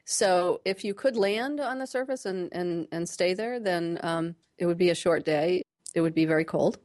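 Measured woman explaining science, lowish voice. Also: a little mellow. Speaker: planetary scientist, interview guest. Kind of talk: radio interview, explaining science plainly.

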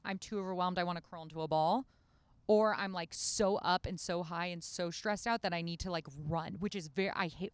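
A woman's voice: mid-range voice, clear, resonant tone, dry recording. A woman talking casually as a podcast guest outdoors in a shaded backyard. She rambles with lots of "like" and restarts her sentences.

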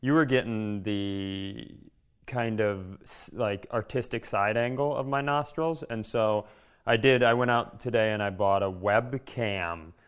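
A man's voice: fairly low pitch, measured pace, clear, resonant tone. A man with an American accent co-hosting a comedy podcast, rambling casually with crude jokes. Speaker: podcast co-host.

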